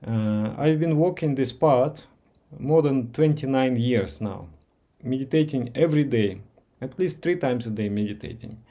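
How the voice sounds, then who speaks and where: Gentle Russian-accented man with a mellow voice. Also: medium pitch. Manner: slow, pausing, gentle, with many "uh"s and repeats. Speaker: meditation teacher. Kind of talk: live Q&A session with viewers.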